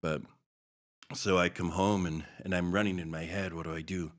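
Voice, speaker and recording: A middle-aged man from Hawaii, a podcast guest, telling a personal story in a halting, reflective way with many restarts. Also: sits low, steady tone.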